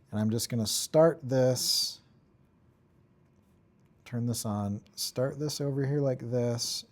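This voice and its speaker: Mellow-voiced man giving an informal tutorial. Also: medium pitch. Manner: offhand, talks in short fragments while working. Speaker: tutorial instructor. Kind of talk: informal screen-recorded software tutorial, thinking aloud while working.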